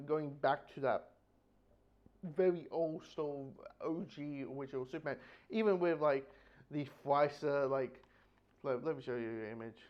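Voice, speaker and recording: A somewhat muffled, lo-fi recording of a man with a slightly higher-than-average voice. He reviews in a loose, unscripted way, halting and doubling back on his phrases. His wording is blunt and casually sweary. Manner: rambling, halting, repeats phrases. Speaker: book reviewer.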